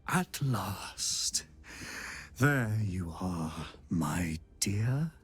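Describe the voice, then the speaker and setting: Smug wizard villain, swooping pitch. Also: male voice, expressive, drawn-out words, husky, soft background music, heavily acted. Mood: oily politeness. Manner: theatrical, unctuous, mock-polite. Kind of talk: fantasy video game acting.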